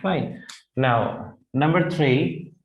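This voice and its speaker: A man in his thirties with an Indian English accent, teaching vocabulary at a whiteboard. He repeats phrases slowly and keeps checking in with "right?". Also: a little high, muffled recording. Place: classroom in front of a whiteboard. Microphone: lapel mic. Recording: echoey classroom.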